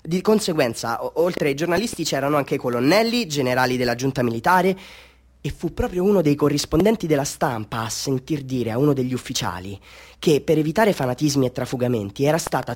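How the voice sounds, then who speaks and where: An actor playing a bitter, half-mad village man telling his tale in a theatrical radio drama monologue, swinging between hushed confiding and fervent declaiming. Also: mid-range voice, lively intonation, a little fast, lightly acted. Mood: intent recounting.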